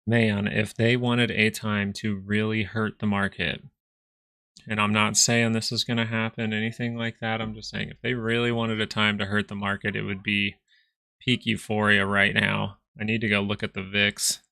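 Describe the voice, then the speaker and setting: Gravelly, bright-toned man calling the market. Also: on the low side, level tone, normal speed, no echo. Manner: casual, chart-pointing, conversational asides to viewers. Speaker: stock market livestream host. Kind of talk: casual live market commentary.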